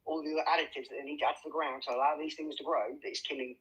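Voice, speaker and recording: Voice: mid-range voice, fairly even intonation, rapid-fire, fairly bright tone. A caller arguing defensively in a heated debate and protesting about being interrupted. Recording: tinny phone-like call audio.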